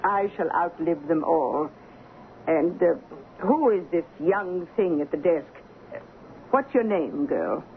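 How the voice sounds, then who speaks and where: An actress playing an imperious, sour old dowager who speaks in a haughty, cutting way in a radio drama. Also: female voice, fairly low pitch, expressive, slow pace. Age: older. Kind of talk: 1970s radio drama acting. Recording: lo-fi old radio broadcast, faint noise.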